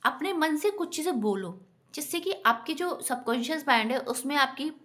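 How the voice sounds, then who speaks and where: A woman's voice: high-pitched, animated, normal speed, fairly bright tone. A woman giving an earnest, instructive motivational talk directly to her viewers. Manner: earnest, instructive, often addresses listeners as friends. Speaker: self-help vlogger.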